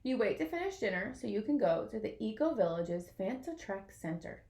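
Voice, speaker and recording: A woman reading a children's picture book aloud in an expressive way, as if to young listeners. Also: medium pitch, a little fast, reverberant. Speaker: storybook reader.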